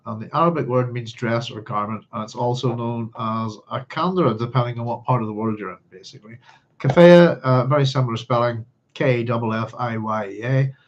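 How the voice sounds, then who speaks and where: A man's voice: mid-range voice, highs cut off. A man with a Northern Irish accent hosting an informal book club, talking through a novel in a rambling, scattered way and often thinking aloud. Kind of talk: informal read-along commentary on a novel.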